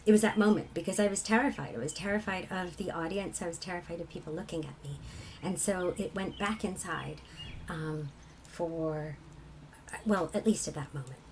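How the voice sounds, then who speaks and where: A woman from England with a low, husky voice, a singer telling personal stories in a reflective conversation. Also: normal speed, darkish tone, slight background noise. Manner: reflective, laughs often while telling stories. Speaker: kirtan singer.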